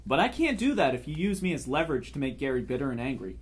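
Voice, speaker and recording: A man's voice: mid-range voice, sing-song, fast talker, slightly rough. A man playing an exasperated sportscaster caught between squabbling exes in a comedic table reading, protesting with mock diplomacy. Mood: mock-diplomatic.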